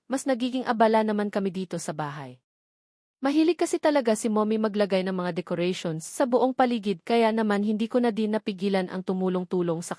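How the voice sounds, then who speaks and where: A woman reads a first-person novel as a scripted narration. Her voice sits at a middling female pitch. The sound is dry, with very little room in it. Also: a little mellow, no room sound. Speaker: audiobook narrator.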